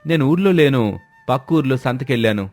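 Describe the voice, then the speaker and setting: A man's voice: medium pitch, animated, a little fast, darkish tone, faint music behind, close to an everyday voice. A man voicing a humble, respectful village moneylender who protests honestly in a moral story. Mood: earnest protest. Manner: deferential, protesting.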